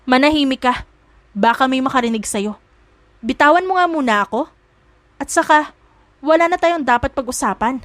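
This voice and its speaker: A woman's voice: high voice, moderate pace, pauses often, fairly bright tone, no echo. A woman voicing a feisty young maid in a romance audio drama, scolding and emphatic, angry and hurt in love. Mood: annoyed, scolding.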